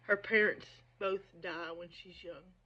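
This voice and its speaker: A woman's voice: lowish voice, steady tone, bright, forceful tone, muffled recording. A woman giving casual, opinionated book commentary in an emphatic, digressive, conversational way, with a slight speech impediment. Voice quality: speech impediment, slows down on hard sh sounds. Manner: emphatic, digressive, conversational, asking "right?" and "okay?". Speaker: book commentator on own video channel.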